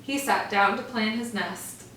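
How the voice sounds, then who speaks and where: Woman teacher, picture book read-aloud, slow pace, echoey room, far from the mic. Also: mid-range voice, slight background noise. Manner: gentle, expressive storytelling. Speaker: first grade teacher.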